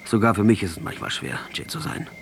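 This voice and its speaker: Captive guerrilla leader, lowish pitch, weary, defiant, sardonic, radio play, slight background ambience. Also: male voice, very animated, fast, vocal fry, a little hiss or hum. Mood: grave, resolute. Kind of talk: radio drama acting.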